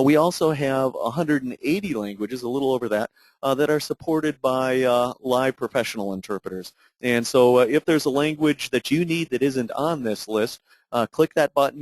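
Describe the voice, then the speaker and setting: A man narrating an unscripted software tutorial in a halting, explanatory way with many fillers. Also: mid-range voice, no room sound, poor audio. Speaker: product demonstrator.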